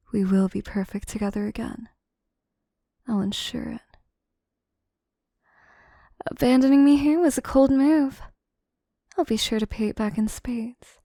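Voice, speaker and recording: Woman acting slow-spoken, obsessive yandere woman, high voice. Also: warm tone, no room sound, clean studio sound. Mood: menacing, infatuated. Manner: theatrical, formal phrasing, slow and deliberate, dropping into whispers. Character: obsessive, possessive yandere assistant woman, scheming and menacing. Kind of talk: scripted audio roleplay, intimate monologue to listener.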